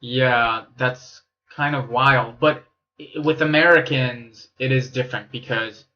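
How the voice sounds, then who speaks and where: A man's voice: mid-range voice, fairly even intonation, unhurried, bright, forceful tone, dull audio, slight background noise. A young man born in Romania, hosting a podcast in loose, joking chat and swearing casually. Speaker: podcast host.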